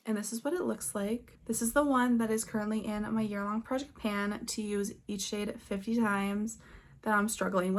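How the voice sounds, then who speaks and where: Female beauty creator, medium pitch, chatty and informal, casual show-and-tell delivery with little asides. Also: a little mellow. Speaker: beauty vlogger.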